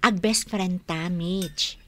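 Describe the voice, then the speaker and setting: A woman's voice: lowish voice, slow pace, sharp tone, slight background noise. A woman with an Ilocano accent acting in a radio drama as a nagging, nosy, outspoken confidante. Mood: nosy, reproachful. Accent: Northern Philippine, Ilocano. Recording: music clearly behind the voice.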